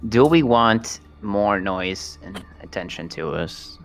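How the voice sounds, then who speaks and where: A man's voice: on the low side, expressive, slow, music in the background. A man talking casually as a tabletop roleplaying player, reasoning with the group and often putting questions to them.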